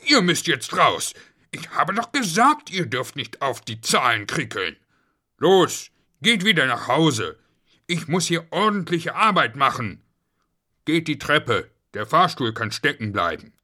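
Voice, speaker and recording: A man's voice: mid-range voice, wildly swooping pitch, a little slow, sharp tone, heavily acted. A man voicing a harried, strict working father who is easily exasperated in a children's story. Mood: scolding.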